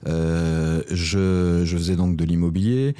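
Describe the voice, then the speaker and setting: Interview guest: man, late fifties, French from Lorraine, very deep and soft-toned, conversational. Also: fairly even intonation, takes it slowly, smooth. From Lorraine, France. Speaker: guest, real-estate entrepreneur.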